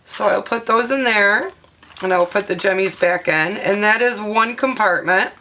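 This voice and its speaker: Hoarse woman, bright chatty craft vlog. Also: mid-range voice, normal speed, a little hiss or hum. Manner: chatty and explanatory, with frequent ums and uhs. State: hoarse, losing her voice. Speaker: craft vlogger. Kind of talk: casual show-and-tell craft tutorial.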